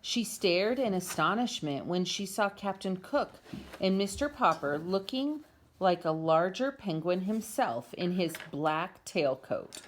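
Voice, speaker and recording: Very animated woman reading a storybook. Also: lowish voice, unhurried, a little hiss or hum. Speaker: parent reading aloud to family. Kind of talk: expressive storybook read-aloud. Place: at home.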